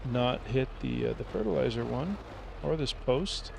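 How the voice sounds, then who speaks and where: A man streaming a farming game, thinking aloud in a relaxed, rambling way as he plays. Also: medium pitch, unhurried, creaky, background noise. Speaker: gaming streamer. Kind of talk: casual gameplay commentary.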